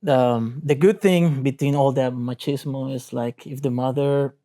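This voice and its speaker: A man's voice: medium pitch, steady tone, a little slow, dark tone. A man in his forties from Rica, a Spanish speaker, talking earnestly and plainly as a guest in a discussion. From Costa Rica. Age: 40s. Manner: earnest, plainspoken, loose grammar. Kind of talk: casual discussion.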